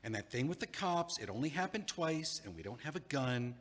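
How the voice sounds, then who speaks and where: A man's voice: mid-range voice, big pitch swings, brisk, a bit bright. An actor playing an anxious young man who rambles and stammers with many restarts in an audio drama. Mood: nervous. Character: anxious, rambling young man just left by his fiancée. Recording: long hall reverb.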